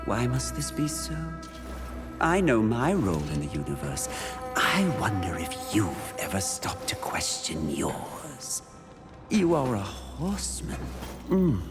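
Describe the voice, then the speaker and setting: An actor voicing an androgynous, seductive demon in a video game, coaxing and taunting in a breathy, theatrical drawl. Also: mid-range voice, huge pitch swings, slow pace, over music.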